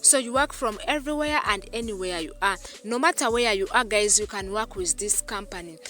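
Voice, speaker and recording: Bright-voiced woman walking viewers through steps. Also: mid-range voice, fairly quick. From Uganda. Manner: repetitive, keeps addressing viewers as "guys". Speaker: YouTube channel host. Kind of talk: screen-recorded tutorial voice-over.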